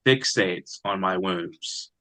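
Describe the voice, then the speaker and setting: A man speaking as a poet in an interview, reflective and measured in his manner. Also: sits low, sharp tone. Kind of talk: interview answers and poetry reading.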